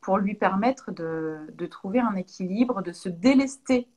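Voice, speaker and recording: A French woman from Lyon in her thirties, a naturopath answering interview questions in a thoughtful way, with pauses and restarts. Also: medium pitch, lively intonation, dark tone, breathy edge. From Lyon, France. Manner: thoughtful, many hesitations and restarts.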